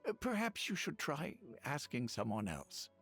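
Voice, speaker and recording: A man playing a polite, forgetful elderly village grandfather in a video game, with a husky, wavering voice and a courteous, hesitant manner. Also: a little high, wildly swooping pitch, normal speed, over loud music. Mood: apologetic. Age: older.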